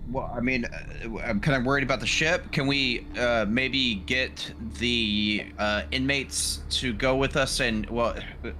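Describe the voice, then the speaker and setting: A man chatting casually as a tabletop game player, halting and often questioning as he works out a plan. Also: medium pitch, fairly even intonation, bright, forceful tone. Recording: clearly noisy background ambience.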